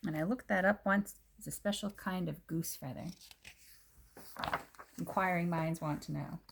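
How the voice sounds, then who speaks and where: A woman reading a children's picture book aloud, in expressive narration with dramatic emphasis on descriptive words. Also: lowish voice, normal speed, gravelly, a little hiss or hum.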